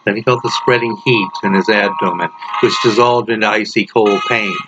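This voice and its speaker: A man reading a dark horror story aloud as its author, in a theatrical, dramatic delivery. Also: lowish voice, fairly even intonation, normal speed. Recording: noisy, dull lo-fi home recording.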